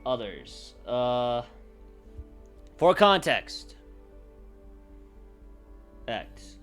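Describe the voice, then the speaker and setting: A man livestreaming casually, thinking aloud in a halting, unscripted way as he works through ideas. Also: medium pitch, big pitch swings, a bit bright, faint music behind. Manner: halting, pausing to think and correct himself. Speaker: livestreamer brainstorming a roleplaying campaign.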